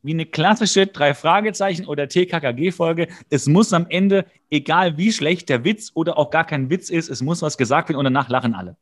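Chatty man with a slightly high voice. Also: brisk, hardly any pauses. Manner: jokey, rambling, wry. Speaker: podcast co-host. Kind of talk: casual episode recap chat.

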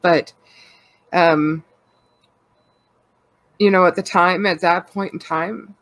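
A woman's voice: on the low side, fairly even intonation, takes it slowly, no echo, low-quality recording. A Canadian woman with a congested, cold-stuffed voice, chatting candidly and rambling, laughing often. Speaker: podcast co-host. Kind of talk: casual personal chat.

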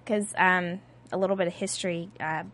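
A woman's voice: lowish voice, steady tone, brisk, a bit bright. A woman co-hosting a podcast, musing casually about a TV show. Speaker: podcast co-host.

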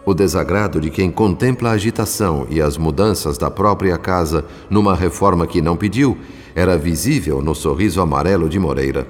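An actor playing a solemn, compassionate spirit mentor, narrating a radio drama in formal, measured phrases. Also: male voice, low-pitched, natural voice. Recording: long reverb, music underneath.

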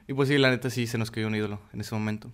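A man's voice: lowish voice, steady tone, very fast, fairly bright tone. A man with a Mexican Spanish accent, telling stories in enthusiastic, slangy casual chat.

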